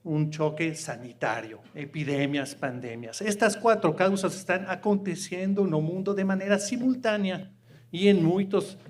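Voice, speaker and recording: Mexican man speaking halting Portuguese. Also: on the high side. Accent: Mexican Spanish. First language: Spanish. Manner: halting, with many fillers and repeated words. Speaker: panel speaker from an international food agency. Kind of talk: panel talk, partly reading a prepared statement.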